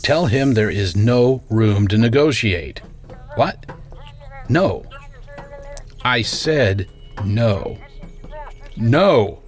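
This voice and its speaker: A man's voice: on the low side, sing-song. A man playing a domineering, menacing scientist tycoon in a radio drama, speaking in an imperious, sardonic way. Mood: irritated, threatening. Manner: imperious, deliberate storyteller, sardonic.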